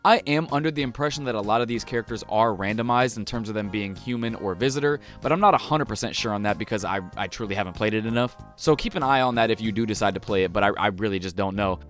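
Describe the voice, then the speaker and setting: A man's voice: lowish voice, quick pace, few pauses, fairly bright tone. A young American man reviewing a video game for viewers in a casual, slangy, profane and emphatic way. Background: music under the voice.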